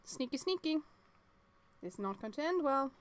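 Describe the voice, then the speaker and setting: A woman with a fairly high voice plays a visual novel. She reads the text aloud and reacts to it in a casual, chatty way. Game music plays softly behind her. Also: lively intonation, moderate pace. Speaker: let's-play streamer.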